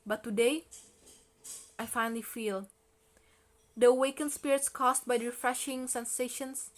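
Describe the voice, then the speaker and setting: A woman reading poetry aloud in an expressive recitation style. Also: a little high, moderate pace. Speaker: poetry reader.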